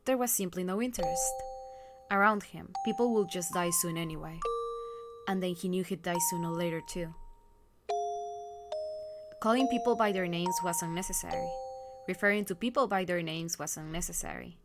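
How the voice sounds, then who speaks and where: A woman with a fairly low voice narrates a visual novel. Her storytelling is scripted, measured and somber. Loud music plays underneath her voice.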